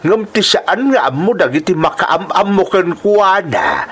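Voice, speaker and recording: Old father acted by a man, protective and sorrowful, sighing admonishments, lively intonation, audio drama. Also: high voice, a little slow, husky, heavily acted. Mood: weary, admonishing. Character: concerned old father, weary, lamenting, protective. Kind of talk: Ilocano audio drama acting.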